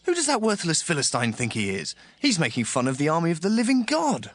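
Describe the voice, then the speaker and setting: A man's voice: a little high, huge pitch swings, brisk. An actor playing a bold, earnest young shepherd boy, speaking eagerly and emphatically in a dramatized audiobook. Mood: indignant.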